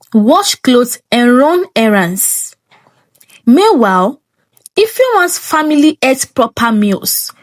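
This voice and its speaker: A Nigerian woman narrating a scripted folktale as a dramatic storyteller. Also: fairly high pitch.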